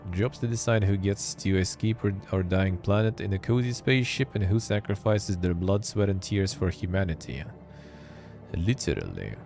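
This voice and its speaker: A man's voice: sits low, lively intonation, normal speed, vocal fry, a little mellow, faint music behind. A man hosting a live stream, chatting offhandedly and reading text aloud with wry asides. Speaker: streamer and show host. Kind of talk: casual live-stream commentary.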